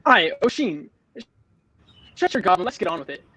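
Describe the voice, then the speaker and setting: An actor with an American accent playing a sweet, amiable eighteen-year-old lad in a script reading. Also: male voice, sits high, sing-song, normal speed, sharp tone, breathy edge, heavily acted. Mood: matter-of-fact.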